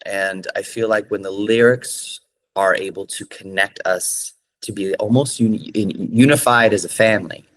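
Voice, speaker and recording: A man's voice: lowish voice, fairly even intonation, moderate pace. A man from California, a singer-songwriter answering interview questions in an earnest way, with many fillers and restarts.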